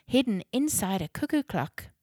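A woman's voice: mid-range voice, big pitch swings, normal speed, warm tone, no echo, clean studio sound, pitched above the performer's own voice. A woman voicing an earnest, eager young girl who is curious about a mystery in a children's story.

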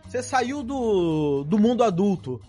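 Man from Brazil, interview host, high-pitched, animated and chatty, faint background music. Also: a little slow. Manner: chatty, animated, full of fillers. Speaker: podcast host. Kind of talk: casual interview chat.

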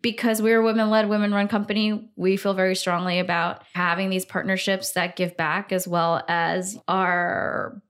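A woman's voice: medium pitch, level tone, normal speed, few pauses, a bit bright, clean tone. A woman in her thirties from Singapore, a brand founder talking enthusiastically in a podcast interview. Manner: enthusiastic, upbeat, frequent fillers.

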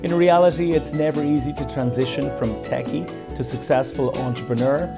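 A man's voice: medium pitch, fairly even intonation, a little fast, vocal fry. A man hosting a podcast interview at a conference, hesitating with many fillers when asking questions. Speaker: podcast host. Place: conference venue. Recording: music playing behind.

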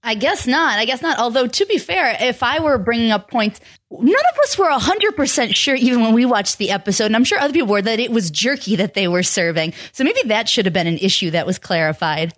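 A woman's voice: on the high side, lively intonation, a little fast, fairly bright tone. A woman co-hosting a recap podcast, talking wryly and laughing easily. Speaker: podcast co-host. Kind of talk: casual recap podcast chat.

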